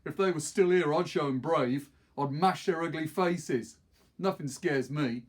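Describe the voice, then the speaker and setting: A man's voice: on the high side, unhurried. A man voicing a cocky, scornful, stocky teenage boy in a storybook reading, boastful and slangy.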